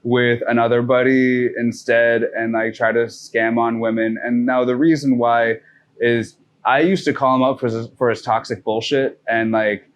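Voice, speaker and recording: A man's voice: medium pitch, flat delivery, moderate pace. A man from the North Shore of Massachusetts, a podcast guest telling a long, rambling story in casual chat, swearing freely and restarting his sentences. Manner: profane, rambling, loose with fillers and restarts.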